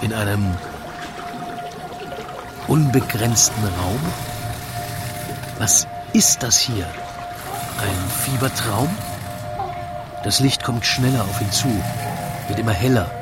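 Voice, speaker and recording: Slow male narrator over loud music. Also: fairly low pitch. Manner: measured, deliberate storytelling. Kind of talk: scripted rhymed radio play narration.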